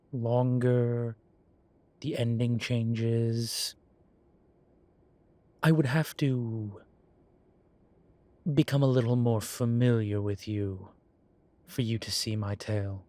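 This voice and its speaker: Slow-talking, teasing demon professor, played by a man. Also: mid-range voice, darkish tone, no room sound, natural voice. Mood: coy. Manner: measured, teasing, formal, wry, with drawn-out pauses. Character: male demon professor, horned, teasing yet composed. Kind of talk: scripted roleplay audio, one side of a conversation.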